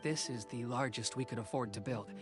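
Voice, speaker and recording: A man's voice: medium pitch, brisk, music in the background, close to an everyday voice. An actor playing an earnest, modest young male craftsman in a video game, speaking politely and apologetically. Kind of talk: video game character acting.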